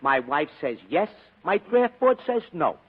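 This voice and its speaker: An actor playing a henpecked, compliant husband in a 1940s radio comedy bit. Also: male voice, a little high, sing-song, unhurried, husky, full character voice. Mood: meek, resigned. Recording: muffled, noisy vintage radio.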